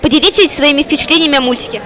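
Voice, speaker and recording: A woman's voice: high voice, rapid-fire. A woman working as a TV reporter, presenting and asking questions on location in a cinema foyer. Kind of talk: on-location vox-pop interviewing. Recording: busy public hall with crowd.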